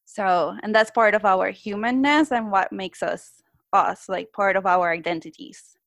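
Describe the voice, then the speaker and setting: A woman's voice: medium pitch, measured pace, vocal fry, no echo, clean studio sound. A woman from Puerto Rico with a Spanish accent, asking interview questions earnestly and sometimes searching for words. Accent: Puerto Rican Spanish.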